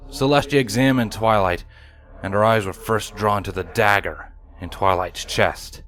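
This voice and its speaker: A man narrates a scripted story in a dramatic reading style. His voice sits fairly low. Faint music runs behind him. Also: a bit bright. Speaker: fan fiction narrator.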